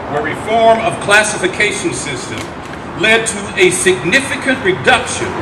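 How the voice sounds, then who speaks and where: A man as an earnest high-voiced advocate, echoey. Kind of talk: scripted dramatic reading. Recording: echoey room, distant mic, clear noise.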